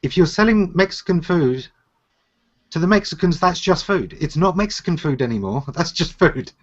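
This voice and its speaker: A man hosting a live consulting show, calmly explaining marketing advice over a video call. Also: a little high, moderate pace. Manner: explanatory, steady. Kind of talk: advisory talk. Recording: slightly dull video call audio.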